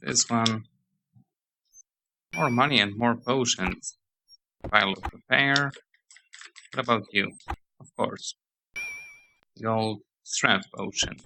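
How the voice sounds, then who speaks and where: A man giving rambling let's-play commentary on a game, hoarse as he loses his voice, reading menus and game text aloud. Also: lowish voice, takes it slowly, pauses often, background noise. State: hoarse, losing voice. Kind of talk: casual gameplay commentary.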